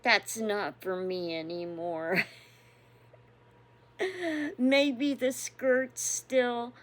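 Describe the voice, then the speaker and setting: Slow-talking woman in her sixties. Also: on the high side. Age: 60s. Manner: unhurried, chatty, chuckling often. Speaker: devotional host. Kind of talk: casual reflective chat.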